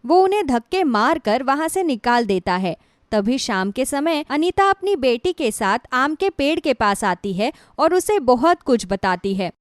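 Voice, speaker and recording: High-voiced woman storyteller. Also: very animated, unhurried, no echo, clean studio sound. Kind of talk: scripted moral story narration.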